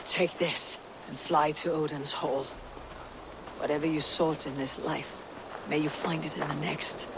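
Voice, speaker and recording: A woman playing a resolute Viking clan leader in a video game, speaking in a grave, commanding, deliberate manner. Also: low-pitched, fairly even intonation, heavy background noise. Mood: grieving. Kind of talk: dramatic video game acting.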